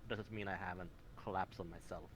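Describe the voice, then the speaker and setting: A man playing a measured, protective doctor with strange powers in an improvised online roleplay, speaking formally. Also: deep voice, steady tone, close to an everyday voice. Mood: calm, assured. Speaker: roleplay player. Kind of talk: improvised in-character roleplay chat. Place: virtual reality voice chat. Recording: noisy online voice chat.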